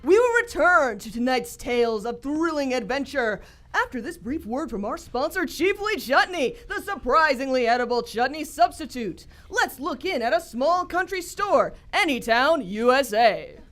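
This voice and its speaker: An actress playing a dramatic old-time radio announcer, narrating in a grand, scripted style. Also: female voice, high voice, sing-song, normal speed, a bit bright. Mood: bright, promotional.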